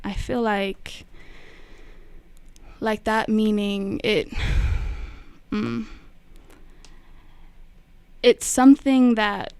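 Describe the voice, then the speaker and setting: A young woman, a poet and radio guest, talking slowly and reflectively in a studio with many pauses and fillers. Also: medium pitch, fairly even intonation, gravelly, darkish tone, clean tone, dry recording. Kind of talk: spoken word poetry and casual radio talk.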